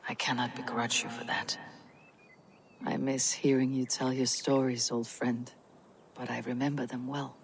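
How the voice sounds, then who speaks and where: An actress playing a stern, weary young Viking warrior leader in a video game, speaking bluntly and solemnly. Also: female voice, very deep voice, flat delivery, moderate pace, slight background noise. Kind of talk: video game cutscene acting.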